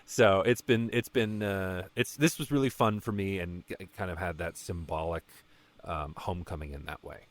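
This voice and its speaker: A man in his late twenties chatting casually as a guest, halting and rambling with a self-deprecating streak. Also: deep voice, fairly even intonation. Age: late 20s. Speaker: voice actor, podcast guest. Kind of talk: casual conversation about a fan project.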